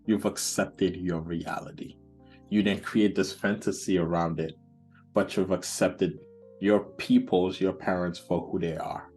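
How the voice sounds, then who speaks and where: A man's voice: low voice, fairly even intonation, a little slow, darkish tone, faint music behind. A man hosting a podcast as a therapist, talking in an encouraging, easygoing way. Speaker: therapist host.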